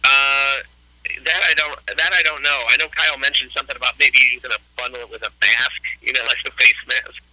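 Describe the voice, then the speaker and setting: A man's voice: medium pitch, steady tone, a little fast, pushed and bright, a little hiss or hum, rough recording. A man in his late forties, a guest musician chatting casually in an interview, rambling and laid-back with many fillers. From Ohio, US. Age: 40s.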